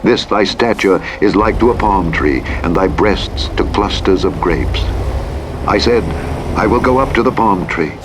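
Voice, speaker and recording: A man narrating the Bible in a measured, solemn scripted reading. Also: deep voice, expressive. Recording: clear vehicle and road noise throughout. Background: truck engine and road sounds from a driving game.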